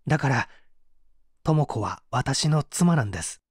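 A man's voice: mid-range voice, expressive, unhurried, husky, no room sound, studio quality. A man voicing a distressed, defensive murder suspect under interrogation in a dramatic audiobook, agitated and pleading as he protests. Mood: defensive, insistent.

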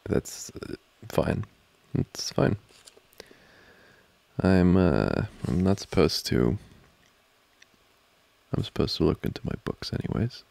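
Man, ASMR boyfriend roleplay, slow halting delivery, deep fry, flustered, close and dry. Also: lively intonation, mellow. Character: flustered, distracted studying boyfriend, teasing and affectionate. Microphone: close microphone, dry.

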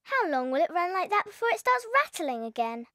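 A woman's voice: very high voice, big pitch swings, brisk, pushed and bright, no room sound, clean studio sound, full character voice. A woman voicing an earnest, outspoken five-year-old girl in a dramatised audiobook. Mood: curious, polite. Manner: earnest, principled, questioning.

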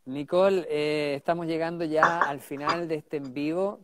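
A man's voice: fairly high pitch, steady tone, moderate pace, a bit bright. A man in his forties hosting a live video-call interview, supportive, with hesitant fillers between questions. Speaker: interview host. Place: indoor office room. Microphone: wired earbuds mic on a video call.

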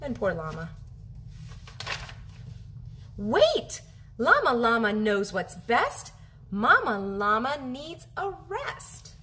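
A woman in her thirties reading a children's picture book aloud as a teacher, animated and expressive, drawing out her phrases for young listeners. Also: a little high, takes it slowly, long pauses, slight background noise. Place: home kitchen, indoors.

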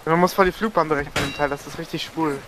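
A man's voice: fairly high pitch, steady tone, racing, background noise. A young man chatting with friends over a game, telling long rambling stories about his bad luck in the match. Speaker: co-player. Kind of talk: casual multiplayer gaming chat.

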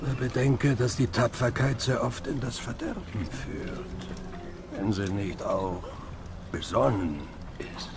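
An actor playing a stern, wary Kurdish village chieftain in a radio drama, speaking with deliberate authority. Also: male voice, medium pitch. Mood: grave, cautioning.

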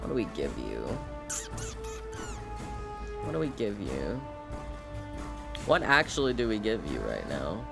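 Unhurried man streaming a game, music behind. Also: lowish voice, wildly swooping pitch, gravelly. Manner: informal, muses aloud to himself. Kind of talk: casual live gameplay commentary.

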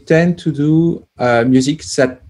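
A man, a plug-in developer guest, answering casually with many pauses as he searches for words over a video call. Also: a little high, a little mellow. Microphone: headset on video call.

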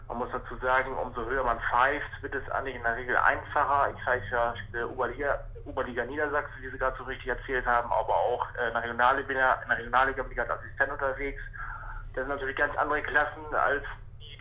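A man's voice: medium pitch, level tone, quick pace, bright, forceful tone. A man, giving a spontaneous interview as a football referee over a telephone line. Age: 30s.

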